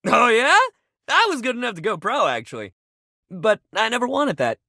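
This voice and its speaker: A man's voice: very high voice, huge pitch swings, normal speed, vocal fry, pushed and bright, dry recording, studio quality, exaggerated voice. An actor playing an upbeat, friendly but sensitive young male sports jock in a video game, talking in casual, emphatic bro speak. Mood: earnest, reflective. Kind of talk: video game character dialogue.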